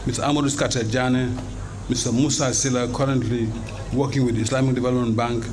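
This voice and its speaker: A man delivering a scripted news report in a brisk, formal way. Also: mid-range voice, fairly even intonation.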